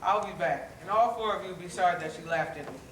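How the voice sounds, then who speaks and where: Echoey distant stage recording, man as mean bragging shark villain, theatrical, raised pitch, children's musical. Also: quick pace, fairly bright tone. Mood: menacing, boastful. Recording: echoey, noisy hall recorded from afar.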